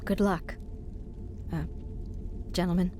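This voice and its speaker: An actress playing a young human sorceress in a video game cutscene, composed, earnest and purposeful. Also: female voice, on the low side, fairly even intonation, takes it slowly, mellow, slight background noise.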